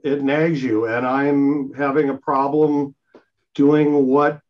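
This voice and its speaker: A man's voice: medium pitch, flat delivery, slow. An older man explaining radio operating practice casually as a co-presenter, heard through dull video-call audio.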